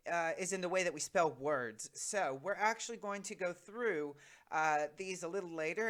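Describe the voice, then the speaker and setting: This is an American English teacher with a crisp, clean voice at a middling pitch. The delivery is conversational and informal, explaining things step by step and speaking straight to the learners. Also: fairly bright tone. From United States. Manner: conversational, addresses viewers directly. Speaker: English teacher presenting an online lesson. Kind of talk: informal explanatory lesson to viewers.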